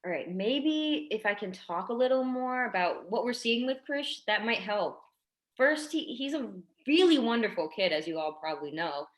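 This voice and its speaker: A woman playing a tactful, apologetic primary schoolteacher in a staged drama reading, hesitant and gently persistent. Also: a little high, lively intonation, normal speed, close to an everyday voice. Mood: careful, concerned. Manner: hesitant, careful, conciliatory.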